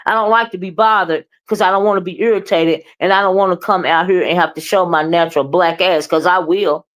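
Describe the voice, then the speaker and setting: Loud, boisterous woman ranting. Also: fairly low pitch, fairly even intonation, fairly quick. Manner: blunt, profane, keeps asking "okay?" and "right?"; moody, confrontational. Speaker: live streamer and commentator. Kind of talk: ranting live commentary.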